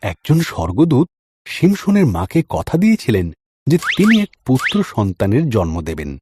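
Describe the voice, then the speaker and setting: A man's voice: medium pitch, huge pitch swings, normal speed, background noise. A man narrating a scripted story in a dramatic storytelling style. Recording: music under the voice. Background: background music.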